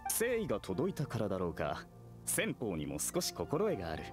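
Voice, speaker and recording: A man voicing a courteous, mysterious gentleman in an anime game, wry and measured, with polite, old-fashioned phrasing. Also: fairly low pitch, wildly swooping pitch, music in the background. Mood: gracious, resigned.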